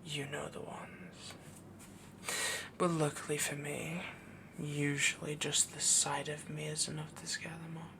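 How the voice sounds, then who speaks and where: A man playing a doting, possessive yandere werewolf boyfriend in an intimate roleplay audio, soft-spoken, whispery and adoring. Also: a little high, level tone, a little slow, creaky, noisy background. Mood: smug, possessive.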